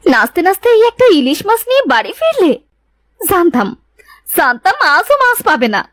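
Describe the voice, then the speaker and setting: A woman's voice: extremely high pitch, very animated, moderate pace, fairly bright tone, no room sound, heavily acted. A woman playing a bossy but good-hearted village wife in a cartoon folk tale, exclaiming, scolding and coaxing.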